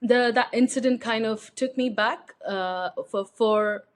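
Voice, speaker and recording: A woman's voice: a little high, unhurried. A young woman from India, speaking as an interview guest in a reflective, hesitant way with frequent fillers and restarts. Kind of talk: personal interview conversation.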